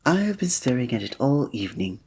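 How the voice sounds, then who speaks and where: Man playing a soft, breathy, gallant fantasy innkeeper. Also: medium pitch, big pitch swings, a little fast, heavily acted. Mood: admiring, earnest. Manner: formal, old-fashioned, gallant, gentle phrasing. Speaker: ASMR roleplay performer. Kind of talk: scripted romantic ASMR roleplay.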